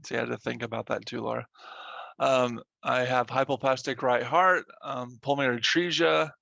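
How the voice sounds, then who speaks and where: A man's voice: medium pitch, fairly even intonation, normal speed, gravelly, bright, forceful tone, dry recording, lo-fi sound. A man in his thirties from Kansas, a university counselor, talking hesitantly in a casual podcast chat. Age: 30s. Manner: hesitant, filler ums.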